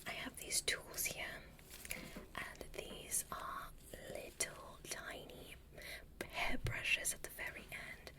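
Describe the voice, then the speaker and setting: Woman playing a whispering, gentle alien medical examiner. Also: slow pace, natural voice. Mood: soothing, attentive. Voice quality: breathy, mostly unvoiced whisper. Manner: soothing, softly instructive, repeats short praise. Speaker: ASMR performer. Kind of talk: whispered ASMR medical roleplay.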